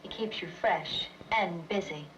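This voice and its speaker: A woman's voice: on the low side, unhurried, lightly acted. A woman playing a newly popular consumer confiding in a television commercial testimonial. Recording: muffled, distant, faintly noisy old film audio.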